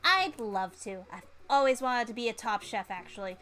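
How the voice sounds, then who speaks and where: A woman's voice: a little high, lively intonation, normal speed, fairly bright tone, faint music behind. A woman voicing a friendly, eager, rambling monster in a visual novel game. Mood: chatty, reminiscing. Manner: rambling, amiable, easily impressed. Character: chatty, friendly, eager spork monster named Steve.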